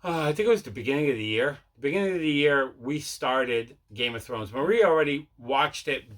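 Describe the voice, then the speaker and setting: A man's voice: mid-range voice, animated, brisk, fairly bright tone. A man hosting a casual vlog about his collection, rambling and repeating himself as he talks. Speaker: host of a mail day collecting vlog. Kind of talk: casual chat showing movie pickups.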